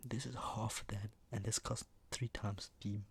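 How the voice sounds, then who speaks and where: A young man speaking in a soft ASMR half-whisper, unhurried and rambling, with a slightly sore, flu-worn voice. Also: lowish voice, little pitch movement, breathy edge. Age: young adult. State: mildly sick, recovering from flu, sore voice. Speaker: ASMR video host. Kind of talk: ASMR, soft-spoken product show-and-tell. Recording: noticeable background noise with clicks.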